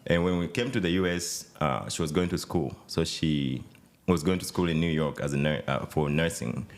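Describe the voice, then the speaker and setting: A man in his thirties from Uganda with a Ugandan accent, a podcast guest talking in a relaxed, hesitant way with many fillers. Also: extremely low pitch, normal speed. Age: 30s. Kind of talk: casual interview chat.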